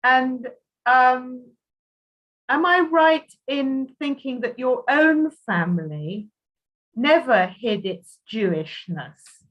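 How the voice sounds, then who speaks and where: A woman interviewer putting prepared questions in a measured, thoughtful way. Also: sits high, expressive. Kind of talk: prepared questions in a live conversation. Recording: dull, muffled audio.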